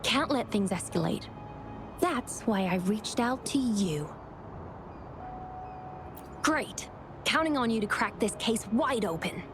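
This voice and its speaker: An actress playing a folksy, brisk woman who oversees a mine, chatty and animated. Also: on the high side. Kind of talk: game cutscene dialogue. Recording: game music underneath. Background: music.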